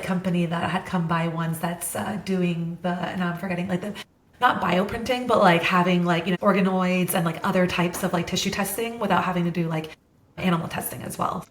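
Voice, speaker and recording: A woman's voice: lowish voice, reverberant. A woman co-hosting an interview over a video call, chatty and full of filler words. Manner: chatty, lots of "like" fillers, gives short reactions. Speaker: podcast co-host. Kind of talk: casual interview.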